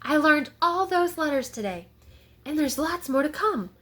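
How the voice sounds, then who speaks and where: A woman's voice: high-pitched, lively intonation, moderate pace, fairly bright tone, voice pushed up. A woman voicing a proud, eager little kindergarten boy in a children's storybook read-aloud. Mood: eager, excited.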